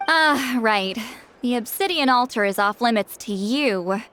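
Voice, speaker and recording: A woman's voice: fairly high pitch, animated, a little slow, fairly bright tone, smooth. A woman voicing a proud, prickly great shaman in a video game, haughty, defensive and theatrical. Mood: haughty, sheepish.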